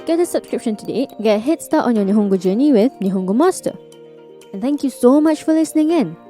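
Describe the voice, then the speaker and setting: A woman's voice: a little high, lively intonation, fast, warm tone, faint music behind. A woman presenting a scripted educational podcast as host and language teacher.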